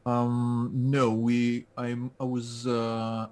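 A man's voice: medium pitch, completely flat delivery, extremely slow, a little mellow. A man, a guest on a call-in interview, speaking with many hesitations and restarts. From France. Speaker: guest, LinkedIn specialist. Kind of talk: casual interview over a call.

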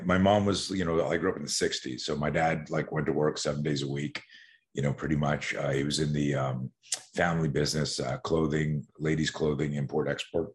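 A man in his sixties, from Canada, with a cavernously deep voice. He tells his stories as a podcast guest in a loose, animated way, often looping back and starting his sentences over. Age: 60s. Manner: animated, rambling storyteller with many restarts. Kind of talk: casual podcast interview.

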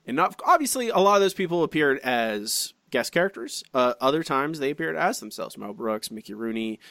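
A man's voice: mid-range voice, fairly quick, gravelly, no room sound. A man in the middle of his voice range hosts a casual podcast, reading comments aloud and breaking off into asides and restarts. The sound is dry and close, with no room around it. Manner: chatty and animated, many restarts and asides. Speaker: podcast co-host.

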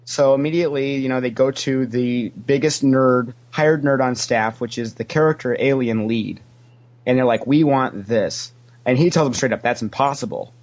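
A man's voice: mid-range voice, moderate pace, a little mellow. A man giving casual, anecdotal answers as a podcast guest, a talkative storyteller who acts out what people said. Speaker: podcast guest, former theme park character actor.

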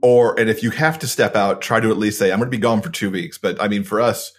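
A man speaking as a podcast guest, giving practical advice in a relaxed way, with frequent ums. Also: fairly low pitch, quick pace. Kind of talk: casual interview chat.

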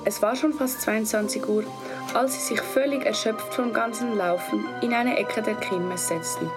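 A woman's voice: on the high side, normal speed, over loud music. A teenage girl with a Swiss German accent reading the narration of a student radio play. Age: teens. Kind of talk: scripted narration.

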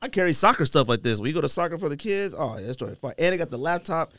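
A man's voice: a little high, sing-song, rapid-fire, fairly bright tone, no echo. A man hosting a casual podcast chat, joking and exclaiming in slang, close on a studio microphone. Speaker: podcast host.

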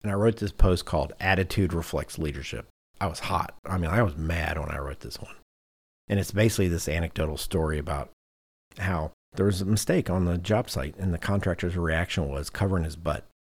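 A man's voice: sits low, fairly quick. A man hosting a podcast in casual conversation, telling anecdotes in a self-deprecating way. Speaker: podcast host.

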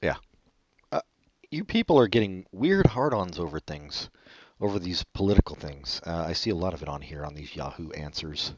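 Sarcastic man with a lively voice. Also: normal speed. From California. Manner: sarcastic, deadpan jokes with sudden pitch jumps. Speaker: co-host reading online questions. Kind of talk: casual comedic banter. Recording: dull, muffled lo-fi audio.

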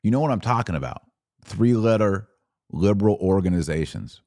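A man's voice: fairly low pitch, expressive, moderate pace, warm tone, dry recording, studio quality. A man hosting a live podcast, reading and answering viewer comments in emphatic, punchy bursts of short phrases. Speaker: podcast host.

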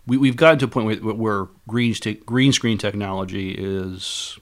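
Man, fairly low pitch, halting delivery, repeated words, casual podcast co-host. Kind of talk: casual chat.

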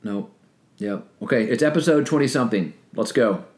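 A middle-aged man co-hosting a podcast in a relaxed, joking, teasing way. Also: mid-range voice, moderate pace. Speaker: podcast co-host, personal trainer. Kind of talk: casual podcast chat.

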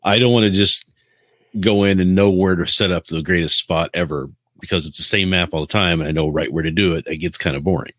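A man with a low, deep voice chats in a dry, close recording. His talk is casual and opinionated, pressing points and questions the way a gaming podcast co-host does. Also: fairly quick, fairly bright tone, no room sound. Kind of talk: casual chat about video games.

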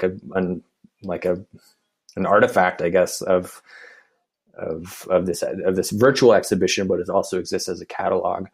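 A man answering interview questions in a casual, halting way, full of restarts and fillers. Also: sits low. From United States. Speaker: arts organization staff member.

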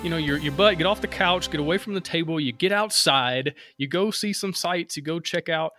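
A man's voice: on the high side, a little fast, pushed and bright, soft background music. A man in his thirties with a Southern American accent, hosting a casual interview chat in an upbeat, rambling way with easy laughter. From Alabama. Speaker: podcast host.

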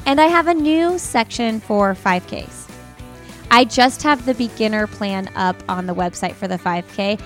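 A woman hosting a running podcast, asking friendly questions and reading her own ad spots. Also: medium pitch. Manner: friendly, asks questions with fillers. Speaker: podcast host. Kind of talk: podcast interview and ad read.

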